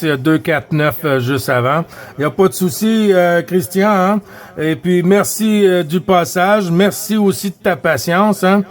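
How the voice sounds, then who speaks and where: High-voiced Québécois man on the radio. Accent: Québécois French. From Quebec. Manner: unhurried, chatty, frequent hesitations and tag words. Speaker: CB radio net operator. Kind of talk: casual radio chat running a net.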